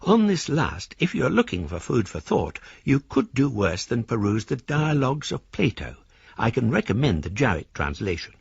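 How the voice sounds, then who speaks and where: Casting: pompous older gentleman for radio drama, husky and animated, reading flowery letters; dry, slightly dull sound. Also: mid-range voice, normal speed, no echo, full character voice. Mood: courteous, effusive. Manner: pompous, flowery, ornate phrasing. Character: courtly, florid older gentleman, newly rich and travelling.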